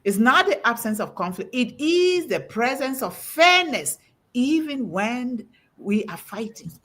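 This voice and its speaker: Husky, animated woman preaching with emphasis. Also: fairly high pitch, unhurried. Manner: emphatic, quotes scripture with rising emphasis. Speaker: host. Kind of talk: preaching-style talk on a panel.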